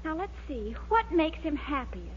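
A woman playing a bossy, cheerful young schemer with brisk, coaxing delivery in a 1940s radio sitcom. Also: sits high, sing-song. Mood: coaxing, confident. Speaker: comic actress. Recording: muffled 1940s radio recording, faint noise.

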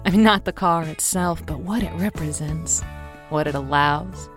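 Woman playing late-night lonely-hearts radio host, lowish voice, wry, poetic, faint music, audio drama. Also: moderate pace, natural voice. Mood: sultry, musing. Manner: sardonic off air, smooth and poetic on air. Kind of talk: semi-improvised audio drama, radio monologue.

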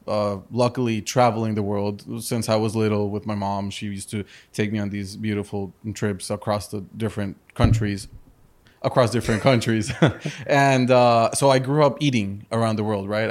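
Low-voiced man, earnest and halting. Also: level tone, darkish tone. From Spain. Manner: earnest, many restarts and "correct?" tags. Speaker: entrepreneur guest. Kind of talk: casual interview talk.

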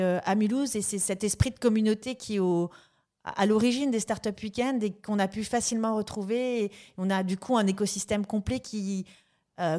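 Woman, podcast host, measured questions, mid-pitched voice. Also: warm tone. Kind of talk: podcast interview.

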